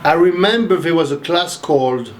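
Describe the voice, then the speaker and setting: A man's voice: on the high side, moderate pace, a little hiss or hum. Rambling older man from Haiti. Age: 60s. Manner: rambling, restarts, animated. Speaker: interviewee, math professor. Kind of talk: casual interview.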